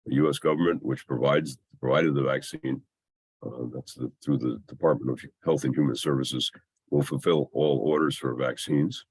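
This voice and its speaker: A man with a scratchy, under-the-weather voice gives an explanatory technical slide presentation in a halting, hesitant way with long pauses. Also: a bass voice, husky, no room sound. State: scratchy voice from a mild illness.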